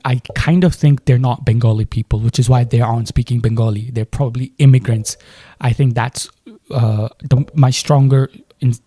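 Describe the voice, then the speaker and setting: Man from Delhi, Indian English accent, native Hindi speaker, hesitant musing commentary, very dark tone. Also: mid-range voice, no echo, clean studio sound. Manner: thinking aloud, questioning, hesitant. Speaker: film reaction host. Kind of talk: trailer reaction commentary.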